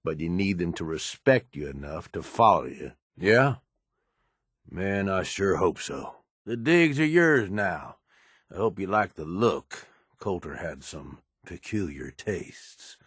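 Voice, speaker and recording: An actor voicing a gruff, streetwise raider adviser in a video game, with a husky voice and a blunt, coaxing, profane way of talking. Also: male voice, low-pitched, lively intonation, slow, fairly bright tone, no echo, studio quality, exaggerated voice. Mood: confident, coaxing. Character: gruff, streetwise male raider right-hand man, pragmatic schemer. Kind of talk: video game character dialogue.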